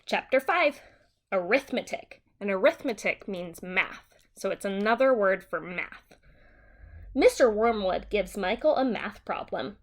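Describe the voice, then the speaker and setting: A woman teacher reading a simplified children's story aloud and explaining it in a deliberate, simple way for young children. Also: mid-range voice, expressive.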